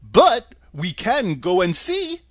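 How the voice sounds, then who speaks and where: A man reading a storybook aloud, voicing a cheerful scarecrow who speaks loudly and heartily. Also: high-pitched, wildly swooping pitch, takes it slowly, no echo, studio quality, pitched above the performer's own voice, heavily acted. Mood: hearty. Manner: loud and hearty.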